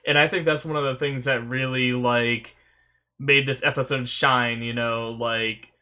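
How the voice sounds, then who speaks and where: A man's voice: medium pitch, fairly even intonation, measured pace, pushed and bright. A young man hosting an anime reaction, rambling casually with many fillers while still sniffly and recovering from a sore throat.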